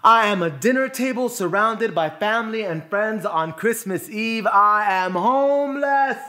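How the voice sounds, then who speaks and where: A man delivers a spoken word monologue in a bright, high voice. His phrasing is deliberate and emphatic, with dramatic pauses, and his pitch moves widely from line to line. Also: animated.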